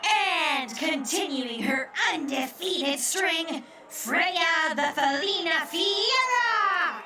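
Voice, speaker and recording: A woman playing a showy wrestling ring announcer in an audio drama, with drawn-out, dramatic announcing. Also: very high voice, animated, slight background noise, full character voice. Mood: hyped. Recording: echoey, distant, under loud music.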